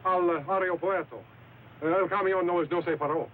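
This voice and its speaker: A man's voice: high-pitched, fairly even intonation, moderate pace, close to an everyday voice. An actor playing a wary, polite man on the run in an old-time radio drama. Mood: polite, hesitant. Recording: very noisy, tinny old radio recording.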